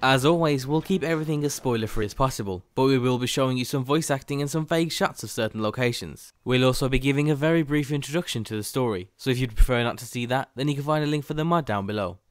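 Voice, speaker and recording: A man's voice: medium pitch, fast, few pauses, no room sound. A man hosting a game mod video review, reading scripted narration. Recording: faint music behind the voice. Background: faint music.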